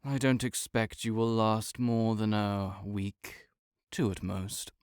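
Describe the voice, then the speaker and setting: A man's voice: lowish voice, fairly even intonation, slow, a little mellow, clean tone, no room sound, clean studio sound. A man playing a proud, sardonic invalid nobleman in an audio roleplay, speaking with disdainful, formal, old-fashioned diction. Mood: haughty, dismissive. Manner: haughty, archaic formal diction, disdainful drawl. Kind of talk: scripted audio roleplay monologue.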